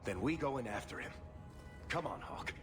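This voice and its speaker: A man playing a wry, streetwise dwarf adventurer in a video game, with a sardonic, expressive delivery. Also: mid-range voice, normal speed, music in the background, lightly acted. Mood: serious, concerned. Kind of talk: video game dramatic acting.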